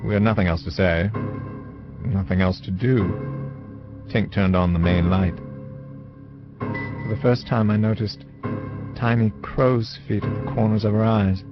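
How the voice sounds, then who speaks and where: An actor playing a wistful man who recalls his youth in measured, literary first-person dramatic narration. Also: lowish voice, steady tone, close to an everyday voice. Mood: melancholy, reflective. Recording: dull lo-fi audio with music. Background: music.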